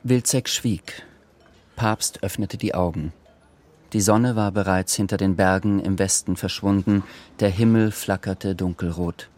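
A man's voice: sits low, fairly even intonation, a little slow, a little mellow. A man reading scripted literary narration for a radio drama. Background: music.

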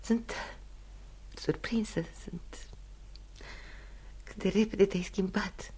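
An actress performs a hurt but proud mistress in her thirties for a radio play. Her voice sits at a middle pitch. She speaks haltingly and intimately, with a wry, probing edge. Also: dark tone, slightly rough, in a whisper, lightly acted. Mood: wounded, hushed. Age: 30s. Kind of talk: radio theatre acting.

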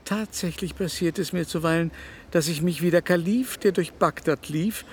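A man's voice: a little high, moderate pace, a little hiss or hum, natural voice. An actor playing an older, lecherous aristocratic baron in a radio drama, haughty, fussy and mannered in his speech. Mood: self-important.

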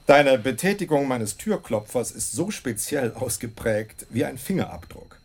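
An actor playing a brilliant, cheerfully superior gentleman detective in a German radio play, eloquent and suave with a wry condescension. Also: mid-range voice, expressive, a little slow, breathy edge. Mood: self-satisfied.

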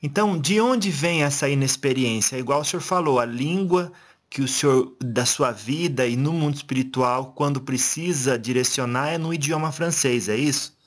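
A man's voice: medium pitch, normal speed. A man interviewing a medium, asking loose, chatty questions full of fillers and restarts. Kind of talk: casual spoken questions.

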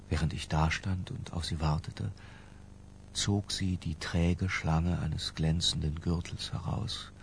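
An actor playing an obsessed, cultured middle-aged narrator in a literary radio drama, lyrical and intimate. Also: male voice, rumbling low, creaky, a little hiss or hum, heavily acted.

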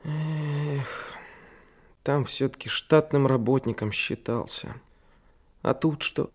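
An actor playing an earnest, anxious, brooding seventeen-year-old trainee detective in a radio drama. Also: male voice, mid-range voice, takes it slowly. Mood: gloomy. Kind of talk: Soviet radio play acting, inner monologue.

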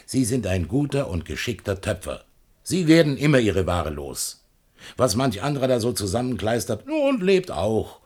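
A man's voice: on the low side, wildly swooping pitch, moderate pace, a little mellow. An actor playing an evasive, talkative shopkeeper in a German radio play, chatty and patronizing as he placates a customer.

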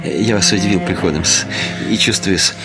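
An actor playing a fawning, insistent widower in a Russian radio play, flustered and servile, with old-fashioned hissed politeness. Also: male voice, low voice, a little fast. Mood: ingratiating, nervous. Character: fawning, fussy, insistent widower eager to remarry. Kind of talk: Russian radio play acting of a literary classic. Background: music.

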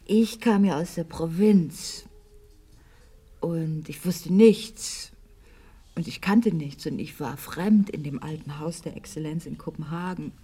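An actress playing a wistful, high-strung lady of a wealthy household in a German radio play, speaking lyrically and haltingly, often hushed. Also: husky. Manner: theatrical, lyrical, halting, often dropping to a hush.

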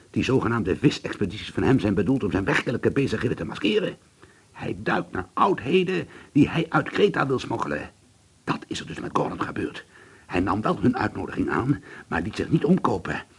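Jittery suspicious man, husky voice, very lively pitch, earnest, radio play acting. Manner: nervy, earnest, hesitant. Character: jittery, indignant male amateur painter, haunted and suspicious.

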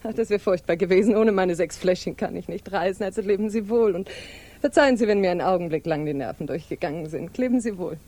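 An actress playing a worldly, bitter married lady in a 1950s German radio drama, measured and ironic, drawing out key words. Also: on the low side, warm tone, lightly acted. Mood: brisk, flustered.

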